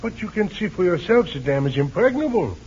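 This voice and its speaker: A man's voice: sits high, sing-song, a little fast, darkish tone. An actor playing an anxious, earnest young Dutch colonial man with formal, precise speech in a 1940s radio serial. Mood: worried, pleading. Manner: formal, pleading, precise wording. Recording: muffled, noisy old radio recording.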